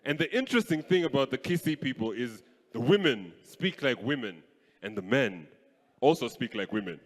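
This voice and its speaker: A young man from Kenya doing live stand-up comedy on a stage mic, playful and animated. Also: medium pitch, moderate pace. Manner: animated, playful, switches voices. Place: stage in a large hall. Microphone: handheld stage mic. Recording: live hall with long reverb.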